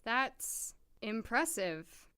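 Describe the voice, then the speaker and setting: A woman's voice: a little high, big pitch swings, drawn-out words, sharp tone, smooth, full character voice. A woman playing a cool, sceptical, self-assured young doctor in an audio drama, dryly polite and clipped. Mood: curious, reserved.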